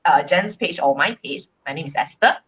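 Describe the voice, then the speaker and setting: Husky, low-voiced woman from Malaysia, co-host, chatty and reflective. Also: normal speed, dull audio. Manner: relaxed, reflective, frequent fillers. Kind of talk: casual live-stream chat.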